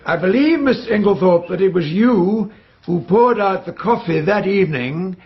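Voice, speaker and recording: A man's voice: sits high, animated, muffled recording. A man playing a stern British coroner in a radio drama, questioning formally and pointedly. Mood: probing. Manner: formal, pointed, measured phrasing. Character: stern, probing coroner questioning witnesses at an inquest.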